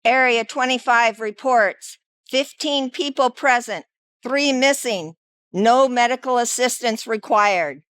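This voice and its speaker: A woman's voice: a little high, takes it slowly, fairly bright tone. A woman delivering scripted instructional narration as a training presenter.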